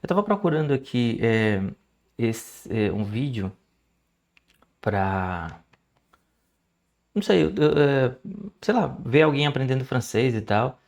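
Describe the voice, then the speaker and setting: A young Brazilian man with a Brazilian Portuguese accent talks through his study session in a loose, chatty way. He often stops and restarts with fillers as he thinks aloud. His voice sits in an ordinary middle pitch. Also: animated, takes it slowly, vocal fry, pauses often, darkish tone. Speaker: language learner and channel host. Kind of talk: casual screen-share study vlog.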